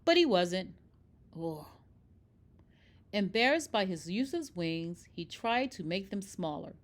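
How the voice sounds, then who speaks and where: A woman reading a children's picture book aloud in expressive, scripted narration. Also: mid-range voice, a little slow. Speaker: storybook reader.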